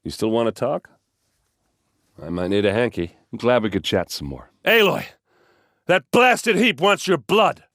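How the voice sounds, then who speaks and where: A man voicing a gruff, hard-drinking warrior captain in a video game, loyal and brash, with a blunt, wry, informal way of talking. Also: mid-range voice, sing-song, unhurried, pauses often, a bit bright, no echo, studio quality. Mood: wry, casual, then urgent, fired up. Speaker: game character voice actor. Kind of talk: video game dialogue and combat lines.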